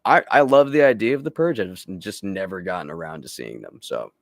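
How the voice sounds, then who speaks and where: Laid-back man with very animated pitch. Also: brisk, vocal fry, dry recording, studio quality. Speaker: podcast co-host. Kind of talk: casual chat about films.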